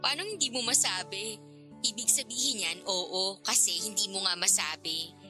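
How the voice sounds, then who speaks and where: A woman's voice: medium pitch, normal speed, sharp tone, soft background music. An actress playing a curious, teasing, frank older girlfriend figure in a radio drama, talking in a playful, probing, casual way.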